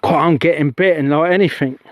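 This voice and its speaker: A man's voice: fairly high pitch, fairly even intonation, a little fast, no room sound. A middle-aged man livestreaming outdoors on a heath, talking in a rambling, meandering way that keeps restarting itself. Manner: chatty, meandering, self-interrupting. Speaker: UFO investigator vlogger.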